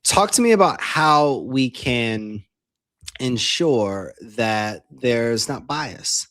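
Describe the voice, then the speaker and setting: A man hosting a podcast interview, asking long, open questions. His voice sits at a middle pitch. The sound is dry and close, with no room around it. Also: expressive, drawn-out words, fairly bright tone, no echo. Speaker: podcast host.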